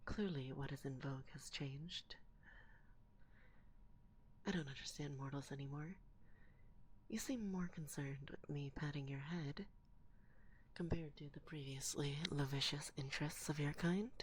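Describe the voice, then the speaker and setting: A woman voicing an assertive, teasing forest witch who is a stern but fond mentor, in soft, whispery binaural ASMR roleplay with a deliberate, condescending manner, close to the microphone. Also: sits low, a little hiss or hum. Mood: haughty, teasing. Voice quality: soft, breathy, often slipping into whisper.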